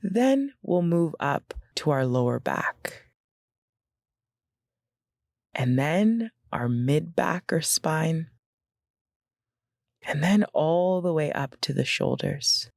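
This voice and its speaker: Female meditation guide: gentle and very slow, with noticeable vocal fry, recorded close and dry. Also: low-pitched, lively intonation, no echo. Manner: gentle, unhurried, instructional with pauses.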